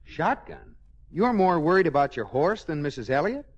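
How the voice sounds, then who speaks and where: An actor playing a mild, sardonic, exasperated husband in a 1940s radio comedy. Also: male voice, fairly high pitch, very animated, normal speed, dull audio. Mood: earnest. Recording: faintly noisy old radio recording.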